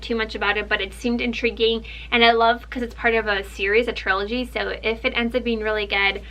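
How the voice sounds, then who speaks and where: Chatty, excited young woman, bright voice. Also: fairly high pitch. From Ukraine. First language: Russian. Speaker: book vlogger. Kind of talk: casual book haul chat.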